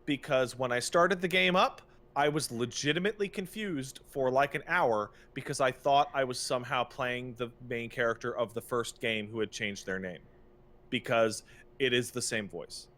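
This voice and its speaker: A man's voice: medium pitch, moderate pace, a bit bright. A man co-hosting a podcast, talking casually and with opinions about games, stressing his points emphatically. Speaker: podcast co-host.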